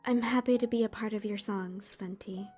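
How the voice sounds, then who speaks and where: A woman's voice: mid-range voice, fairly even intonation, normal speed, dark tone, soft background music, natural voice. A woman voicing a brave, earnest young female warrior in a theatrical manga dub. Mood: tender.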